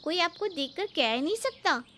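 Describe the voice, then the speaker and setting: An admiring young sister-in-law from a family tale, acted by a woman. She speaks in a very high, shiny voice. Her delivery is girlish, gushing and frank. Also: animated, moderate pace, pushed and bright, heavily acted. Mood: teasing.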